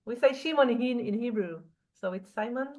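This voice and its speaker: A woman's voice: fairly high pitch, normal speed. A woman moderating an online class who thanks each speaker briefly and calls on the next. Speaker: course moderator. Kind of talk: calling on participants in an online class.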